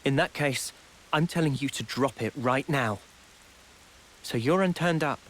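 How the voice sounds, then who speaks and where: A man playing an earnest, thoughtful young male soldier in a game cutscene, measured and resolute. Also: mid-range voice, a bit bright, a little hiss or hum, lightly acted, low-quality recording. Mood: firm, insistent. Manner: measured, deliberate. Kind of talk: video game cutscene acting.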